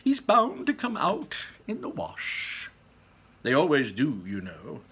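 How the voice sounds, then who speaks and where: An eccentric storybook candy maker, acted out by a man, exclaiming in a high, theatrical voice. He is bossy and gleeful, stretching his calls and leaping around in pitch. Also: wildly swooping pitch, slow pace, bright, forceful tone, slightly rough, exaggerated voice. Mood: gleeful, urging. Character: eccentric, excitable male candy factory owner, bossy and gleeful.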